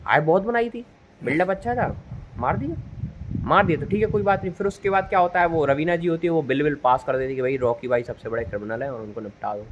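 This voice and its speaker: A man's voice: on the high side, quick pace, lo-fi sound. Man casually recapping a film, noisy recording. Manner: jokey, rambling storyteller, acts out dialogue. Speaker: movie reviewer. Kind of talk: casual film plot recap in spoken chat. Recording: noisy ambient location recording.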